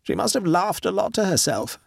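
A man voicing an eager, ruthless male scientist who talks in an urgent, excited way, raising his voice higher than his narration. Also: brisk, gravelly, no room sound, studio quality, heavily acted.

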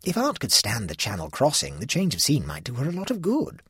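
A man's voice: medium pitch, huge pitch swings, fairly quick, fairly bright tone, breathy edge, dry recording, studio quality, pitched above the performer's own voice, exaggerated voice. A man voicing a cautious young woman who worries about respectability. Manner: careful and respectable.

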